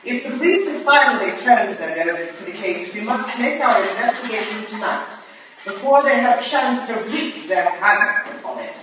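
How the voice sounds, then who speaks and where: Actress as stern older victorian lady, formal and bossy, lively intonation, big echo, hissy stage reading. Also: fairly high pitch, moderate pace. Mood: commanding. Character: stern, aloof older Victorian woman, crusading mentor. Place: echoey room at a live staged reading. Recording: very echoey, distant, noisy room recording.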